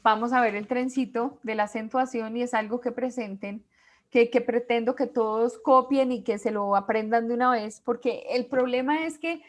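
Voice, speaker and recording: Woman, teacher, classroom lecture, high-ish voice, explains step by step, asks quiz questions.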